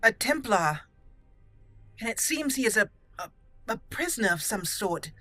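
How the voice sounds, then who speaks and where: Casting: female gaming host, bright forceful voice, playful, chatty, faint music underneath. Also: fairly high pitch, big pitch swings, normal speed, no room sound. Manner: playful, chatty, thinking aloud. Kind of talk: casual roleplay game commentary. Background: game music.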